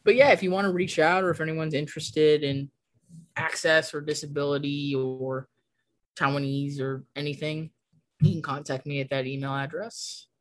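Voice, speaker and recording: A woman's voice: low voice, fairly even intonation, moderate pace. A young woman guest on a podcast, talking casually and often laughing. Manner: chatty, laughs often, fillers like "like". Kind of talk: casual conversation.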